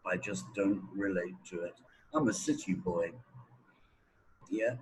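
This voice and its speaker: A man speaks at a slow, deliberate pace over faint background noise. He gives his opinions conversationally, as an expert being interviewed, pausing often as he goes. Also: low voice, low-quality recording.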